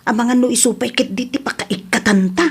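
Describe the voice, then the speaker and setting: A woman's voice: on the high side, a little fast, husky. A woman playing an anxious, nagging housemaid who frets over her co-worker in a comedy radio drama. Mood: worried, scolding.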